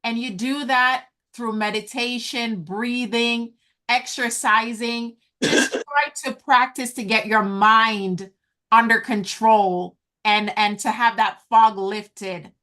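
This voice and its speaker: Slow, earnest woman coaching on a call. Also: a little high, fairly even intonation, pushed and bright. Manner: earnest, encouraging, addresses listeners as guys. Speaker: business coach hosting an online event. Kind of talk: slow, deliberate teaching talk. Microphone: video call.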